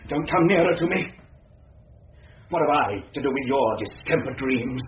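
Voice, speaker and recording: An actor playing a formal, troubled, haunted professor in an old-time radio drama, on a roomy, noisy old recording. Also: male voice, medium pitch, animated, moderate pace, highs cut off, natural voice. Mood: cold, agitated. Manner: formal, theatrical.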